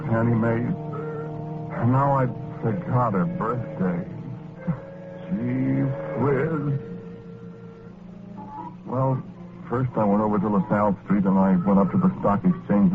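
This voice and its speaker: An actor playing a gullible, tender-hearted working-class man, narrating an old-time radio drama in plain, slangy, folksy speech. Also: lowish voice. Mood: sheepish, eager. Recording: noisy, tinny vintage radio recording.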